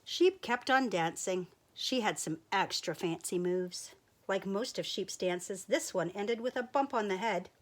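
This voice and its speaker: A woman reading a children's picture book aloud, in a playful, expressive storyteller's voice. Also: medium pitch, unhurried. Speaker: storyteller teacher.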